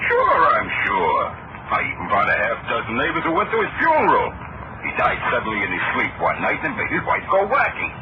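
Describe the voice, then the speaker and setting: An actor playing a brash, wisecracking police officer, scoffing and colloquial, in old-time radio drama style. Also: male voice, medium pitch, huge pitch swings, brisk. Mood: scoffing, amused. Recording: muffled, very noisy old radio recording.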